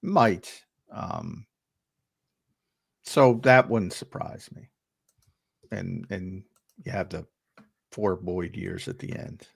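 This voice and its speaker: A man's voice: low voice, slow, vocal fry, pauses often, husky, no room sound, studio quality. A man talking as a guest analyst on a sports show, hesitant with lots of fillers, over a remote call. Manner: hesitant, many fillers and restarts. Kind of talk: casual sports talk.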